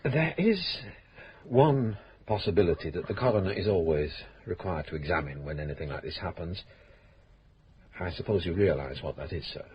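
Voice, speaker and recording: An actor playing a polite, probing police inspector in a radio drama, courteous and measured. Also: male voice, deep voice, expressive, muffled recording, slight background noise. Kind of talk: 1970s-80s radio drama acting.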